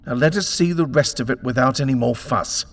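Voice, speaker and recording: A man's voice: medium pitch, brisk, long reverb tail, voice pushed up, lightly acted. A man voicing an arrogant, short-tempered house-buyer in a storybook reading, imperious, scornful and exasperated.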